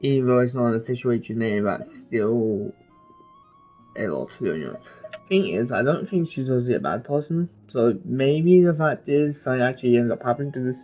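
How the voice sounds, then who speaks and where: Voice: medium pitch, lively intonation, moderate pace. A casual game streamer reads dialogue aloud and adds commentary. The sound is a little dull, with game music playing behind the voice.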